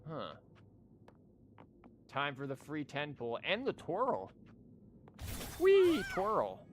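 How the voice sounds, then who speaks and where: A man's voice: fairly high pitch, huge pitch swings, extremely slow, a little hiss or hum. A man giving casual, joking and sweary live commentary while he plays a video game. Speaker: streamer playing a video game. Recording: music behind the voice. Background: game music.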